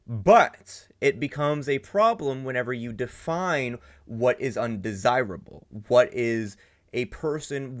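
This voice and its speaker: A man hosting a reaction video, rambling and thinking aloud with lots of fillers. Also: mid-range voice. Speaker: reaction channel host. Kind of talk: casual reaction commentary.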